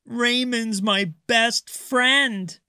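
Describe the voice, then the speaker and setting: A man's voice: very high voice, very slow, bright, forceful tone, smooth, no room sound, clean studio sound, pitched above the performer's own voice, full character voice. A man voicing a young boy shark from a storybook, plaintive, childlike and pouty. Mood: upset.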